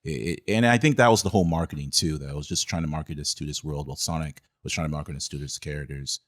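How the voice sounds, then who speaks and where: A man's voice: very deep voice, fast. A man hosting a casual podcast discussion about films, speaking in a conversational way. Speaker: podcast host.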